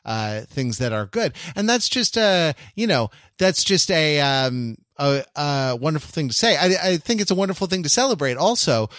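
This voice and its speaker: A man co-hosting a podcast in casual chat, musing philosophically and halting often with fillers as he works out his ideas. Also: a little high, animated, a bit bright, dry recording, clean studio sound. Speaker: podcast co-host.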